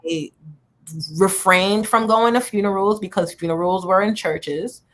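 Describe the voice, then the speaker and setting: A woman in her thirties from New York City sits as a guest on a radio talk show. She tells her story in an earnest, candid way and slips in playful asides. Her voice sits at an ordinary middle pitch for a woman. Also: unhurried, rough recording. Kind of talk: candid radio interview chat.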